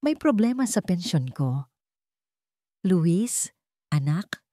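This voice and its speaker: A humble old father, played by a woman narrator speaks in a meek, apologetic, pleading way in an audio drama. The delivery is soft-edged and mellow, recorded dry and close. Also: fairly low pitch, very animated, takes it slowly, no echo, heavily acted. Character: humble, hurting elderly father asking his children for help.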